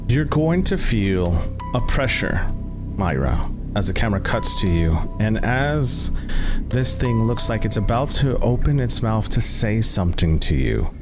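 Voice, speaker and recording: A man running a horror tabletop game, narrating cinematically and deliberately as he addresses his players directly. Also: on the low side, very animated. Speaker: game master. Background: background music.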